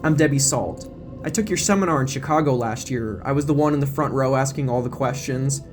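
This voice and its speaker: A man voicing an eager middle-aged woman reporter in an audio drama, stammering and flattering in a flustered way. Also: medium pitch, brisk, music in the background, natural voice. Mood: gushing, insistent. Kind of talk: audio drama character acting.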